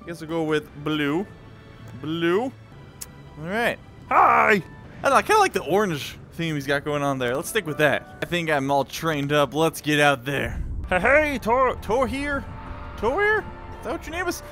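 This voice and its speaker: A man giving excited let's-play commentary in a playful, exclamatory way, breaking into goofy sound effects and sing-song. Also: a little high, normal speed. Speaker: gameplay video host. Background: video game music.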